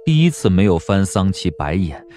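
Deep-voiced, expressive male story narrator. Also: normal speed, faint music behind. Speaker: audiobook narrator. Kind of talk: dramatic scripted narration of a historical novel.

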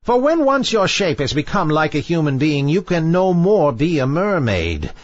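A man's voice: a little high, very animated, normal speed, no echo, highs cut off, voice pushed up. A man voicing a sinister, scornful old female sea witch in a storybook fairy tale, with theatrical, bargaining delivery. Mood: ominous, commanding.

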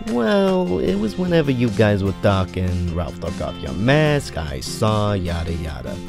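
A cocky, grudgingly friendly teen bully voiced by a man chats casually in a comic dub. The voice is fairly low and animated, with loud guitar music behind it. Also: unhurried, vocal fry, heavily acted.

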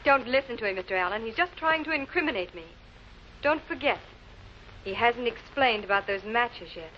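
Woman acting as accusing suspect, raised pitch, sharp-tongued, polished, cool, 1940s TV drama, muffled vintage sound. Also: lively intonation, normal speed, natural voice. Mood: defensive, accusing. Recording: muffled, noisy old film soundtrack.

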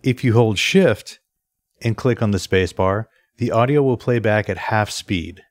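A man presenting an instructional software screencast in a methodical, step-by-step way. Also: on the low side, unhurried, dry recording, studio quality. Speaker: tutorial presenter.

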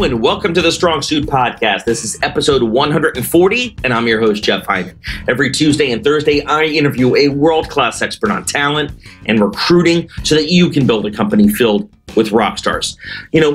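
A man hosting a podcast on recruiting, energetic in his intro and loose and chatty when asking questions. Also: medium pitch, moderate pace, soft background music. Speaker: podcast host. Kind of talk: podcast interview.

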